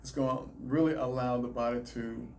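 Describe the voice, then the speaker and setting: A man with a Southern US accent, a chiropractor, answering interview questions in a measured, explanatory way. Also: mid-range voice, steady tone, a little mellow, a little hiss or hum. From Alabama, raised in South Carolina. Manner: measured, explanatory, some restarts. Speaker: chiropractor, guest.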